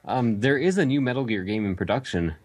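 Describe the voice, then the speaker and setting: A young man with a Canadian accent co-hosting a casual podcast, loose and quick to laugh. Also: medium pitch, animated, slightly rough. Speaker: podcast co-host.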